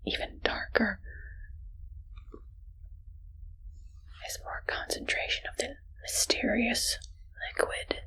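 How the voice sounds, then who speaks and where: Woman as friendly-but-evil female scientist, ASMR roleplay. Whispered, lightly high, slow, gentle, quietly menacing. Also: heavily acted, poor audio. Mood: quietly eager. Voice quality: breathy whisper. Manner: slow, soft, sweetly sinister, attentive.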